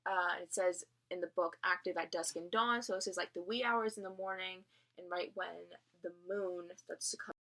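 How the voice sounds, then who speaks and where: A woman reading a children's novel aloud and pausing to explain it in a casual way. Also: on the low side, flat delivery, creaky, a bit bright, rough recording. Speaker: reader of a children's novel read aloud, explaining as a teacher would. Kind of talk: read-aloud narration with casual explanatory asides.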